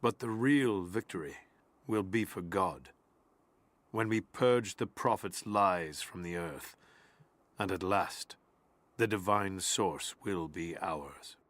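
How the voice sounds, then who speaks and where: A grave medieval zealot reading out his journal in a video game. The voice sits low and the sound is dry. He speaks formally and with measured conviction, as a man devoted to a holy cause. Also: a bit bright, dry recording. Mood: grave, zealous. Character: solemn zealous medieval male envoy of a religious order. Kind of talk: scripted game narration of a journal.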